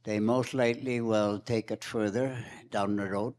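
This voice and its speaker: A man giving impromptu remarks at a roundtable in a measured, hesitant way. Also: fairly low pitch, fairly even intonation, darkish tone. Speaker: roundtable panelist.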